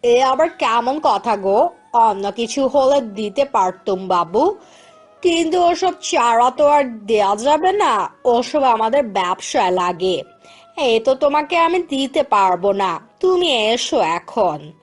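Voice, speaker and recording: A woman voicing a stingy, dismissive neighbour in a cartoon, speaking lower and slowly. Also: expressive, soft background music.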